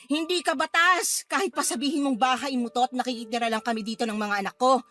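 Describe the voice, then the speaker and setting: A woman's voice: high voice, fast, bright, forceful tone. An actress playing a feisty, fed-up Filipino mother in a radio drama, scolding her drunkard partner in a combative, emphatic way. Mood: stern, defiant.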